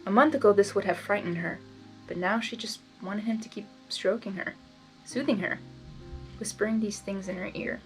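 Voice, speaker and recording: A woman reading fan fiction aloud in expressive storybook narration, with informal asides. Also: mid-range voice, normal speed, faint music behind, low-quality recording.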